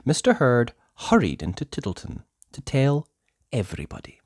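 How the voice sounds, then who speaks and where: A storyteller reading a children's book aloud in a theatrical, deliberate way, with emphatic words and hushed passages. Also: medium pitch, huge pitch swings, no room sound, studio quality. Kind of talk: expressive children's story narration.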